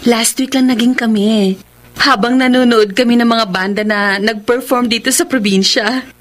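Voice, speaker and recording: A woman's voice: a little high, steady tone, measured pace, a bit bright. An actress playing a coy twenty-year-old provincial woman in a Filipino radio drama, with a Filipino accent. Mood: giddy, proud. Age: young adult. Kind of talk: Filipino radio drama acting and letter narration. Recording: music bed behind the voice.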